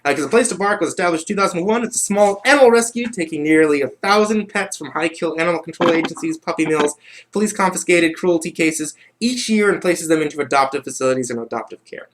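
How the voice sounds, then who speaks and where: A man with a slightly high voice is chatting as the host of a livestream. He talks casually and rambles, doubling back over his own phrases. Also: fast. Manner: rambling, repeats himself.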